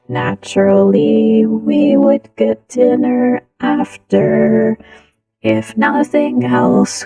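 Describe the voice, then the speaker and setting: Slow, creaky woman telling stories. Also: on the high side, dark tone, distant mic, low-quality recording. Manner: slow, giggly delivery through a voice changer. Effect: voice changer. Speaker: storyteller, content creator. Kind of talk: casual humorous personal storytelling.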